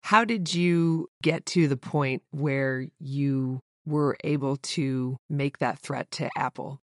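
A podcast host who reads a prepared intro and asks the guest measured, scripted questions. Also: medium pitch, no room sound, studio quality.

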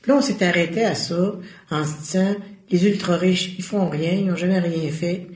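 A woman's voice: fairly low pitch, normal speed, a little mellow. A woman with a Québécois French accent, a guest in an interview, talking earnestly and searching for her words as she goes. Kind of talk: casual conversational interview. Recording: roomy echo.

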